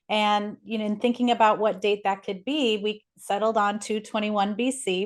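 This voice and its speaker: A woman speaking as an expert interview guest, explaining things conversationally in an articulate, enthusiastic way. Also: medium pitch.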